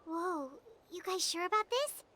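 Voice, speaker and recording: Squeaky, very high childlike fairy companion. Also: female voice, expressive, a little slow, bright, forceful tone, slight background noise, heavily acted. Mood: worried. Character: small chirpy childlike female fairy companion, eager and caring. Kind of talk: video game dialogue acting. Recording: game music behind voice.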